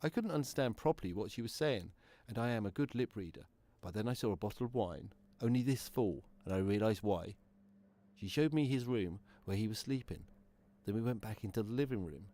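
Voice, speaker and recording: A man's voice: on the low side, animated, fairly quick, dark tone, natural voice. A man acting an earnest deaf man in a radio play monologue, telling his story in simple, literal steps. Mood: earnest recounting. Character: earnest deaf man recounting events plainly. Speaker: actor in a student radio play. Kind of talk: first-person radio drama monologue.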